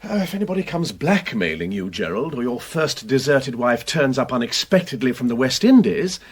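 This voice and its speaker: An actor playing a flippant upper-class gentleman detective in a radio drama, jaunty and facetious. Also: mid-range voice, sing-song, normal speed, slightly rough. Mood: jaunty, teasing.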